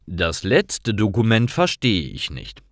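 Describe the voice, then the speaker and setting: A man reading scripted stories for language learners as narrator, in simple, clearly phrased storytelling. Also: on the low side, wildly swooping pitch, slow pace, no room sound, studio quality.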